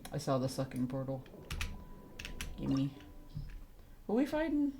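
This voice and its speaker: Female game streamer: very low for a woman, fry-heavy, animated and informal, reacting aloud playfully. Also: unhurried, noisy background. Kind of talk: casual live gameplay commentary.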